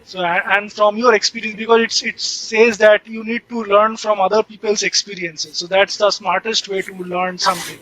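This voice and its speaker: A man's voice: high-pitched, little pitch movement, normal speed, hardly any pauses, fairly bright tone, no echo, low-quality recording. A man hosting a conversational interview, rephrasing his remarks and questions as he goes. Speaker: interviewer, host.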